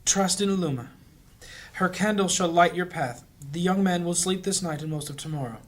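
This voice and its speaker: Man acting a devout fantasy priest, very high male voice, earnest, anxious, audio drama. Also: moderate pace, lightly acted. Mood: solemn, devout.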